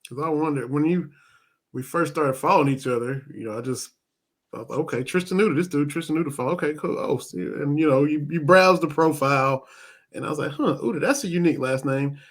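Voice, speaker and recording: Curious Eastern Kentucky host. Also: male voice, on the high side, fairly quick. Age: 40s. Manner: chatty, curious interviewer. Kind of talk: casual chat.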